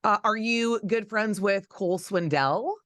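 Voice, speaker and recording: A radio host with a mid-range voice and a very dry, close sound. The delivery is chatty and informal, gushing with enthusiasm like a relaxed interview between acquaintances. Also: medium pitch, unhurried, no echo.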